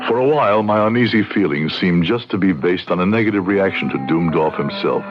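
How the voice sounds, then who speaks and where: A man's voice: deep voice, fairly quick, close to an everyday voice. A man acting a grave, courtly frontier judge who narrates a radio drama in formal, literary phrases. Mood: ominous recounting. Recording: dull lo-fi radio, music behind.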